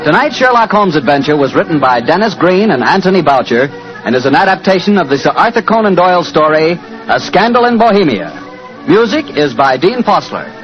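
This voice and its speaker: Lively American vintage radio announcer, a man. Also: a little high, a little fast, soft background music. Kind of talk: 1940s radio announcing and sponsor reads. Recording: dull lo-fi 1940s radio recording.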